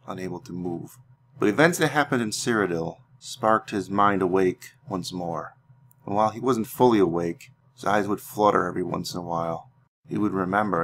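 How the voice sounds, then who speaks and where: Low-voiced man telling a solemn fantasy tale. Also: a bit bright, a little hiss or hum. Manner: solemn, deliberate storyteller with dramatic pauses. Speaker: host narrating a video game roleplay series. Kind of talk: dramatic storytelling narration of fantasy lore.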